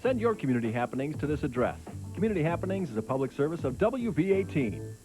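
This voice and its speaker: Male community-bulletin announcer, fairly high pitch, scripted read over a music bed. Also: big pitch swings, fast.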